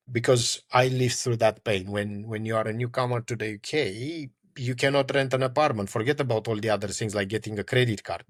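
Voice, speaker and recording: A man's voice: fairly low pitch, no echo, clean studio sound. A man from Romania, a startup founder answering interview questions with frequent fillers and restarts. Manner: hesitant, many fillers and restarts.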